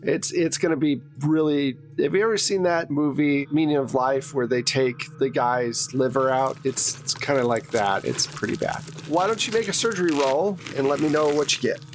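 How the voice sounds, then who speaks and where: A man's voice: on the high side, moderate pace, few pauses, soft background music. A man running a tabletop roleplaying game, narrating in a conversational, improvised way. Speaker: game master.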